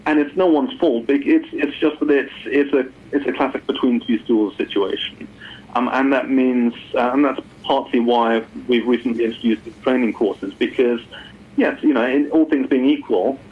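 A man's voice: medium pitch, normal speed, slightly rough. A man explaining things as an expert guest in an interview, repeating words as he thinks aloud. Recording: muffled phone-like line.

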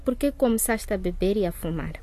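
Radio drama: woman as calm young female peer counselor, mid-pitched, gentle and encouraging. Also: brisk, close to an everyday voice. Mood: reassuring. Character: calm, reassuring teenage girl, volunteer peer counselor.

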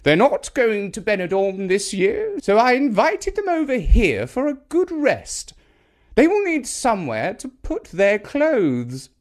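Man putting on a shrill, bossy old English grandmother. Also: wildly swooping pitch, measured pace, full character voice. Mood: snappish, bossy. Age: elderly. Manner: indignant, scolding, emphatic. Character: haughty, snappish elderly English grandmother, bossy and imperious. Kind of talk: children's storybook character voice.

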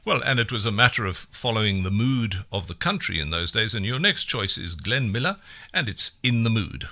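A male radio host with a bright, clear voice that moves up and down a lot. He speaks in a polished presenter style, interviewing a guest and introducing music. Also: fairly low pitch, big pitch swings, moderate pace.

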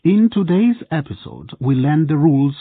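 A man's voice: fairly high pitch, big pitch swings, moderate pace, background noise. A man narrating a radio drama from a script. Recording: tinny, phone-like narrow band.

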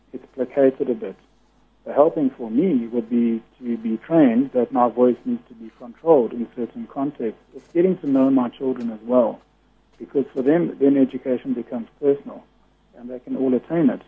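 A man with a naturally loud voice speaks as a guest on a radio interview, explaining his points earnestly and at length. His voice is very husky and comes through a thin, tinny phone line with a narrow band. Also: mid-range voice.

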